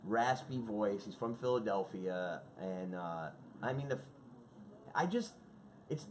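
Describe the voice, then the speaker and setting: A man with a low, deep voice tells rambling, profane stories about old hard rock records. He talks casually and gets worked up as he goes. Faint noise sits under the recording. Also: measured pace. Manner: excitable storyteller, swears freely. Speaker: guest sharing favorite albums.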